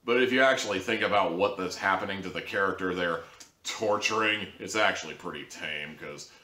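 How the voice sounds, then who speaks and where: Deep-voiced guy ranting sarcastically about a show, kinda roomy echo. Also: brisk, pushed and bright. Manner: sarcastic, rambling, with sudden jumps in pitch. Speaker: audio drama reviewer. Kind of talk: casual monologue review.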